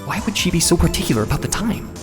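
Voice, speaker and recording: A man's voice: fairly high pitch, very animated, rapid-fire. A man voicing an anxious, earnest young defense attorney in a video game dub, nervous and dramatic. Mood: challenging. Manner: nervous, dramatic, with muttered inner asides. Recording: long reverb, music underneath. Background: music.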